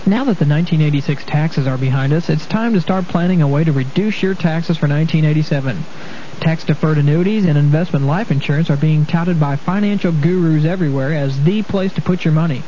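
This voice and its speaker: A man's voice: on the high side, fairly quick, dark tone. A man, a radio show host, reading a scripted promo. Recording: muffled 1980s radio, faint hiss.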